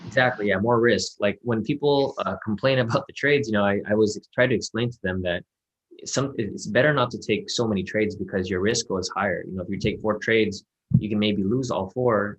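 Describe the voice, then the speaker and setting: Fast-talking low-voiced man mentoring on a call. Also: low-quality recording. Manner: relaxed, reassuring, filler-heavy. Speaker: trading mentor. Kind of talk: casual one-on-one mentoring call.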